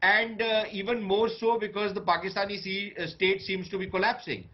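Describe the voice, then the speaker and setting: A man with an Indian accent giving off-the-cuff political analysis on a news panel, pausing often as he goes. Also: high voice, level tone, highs cut off.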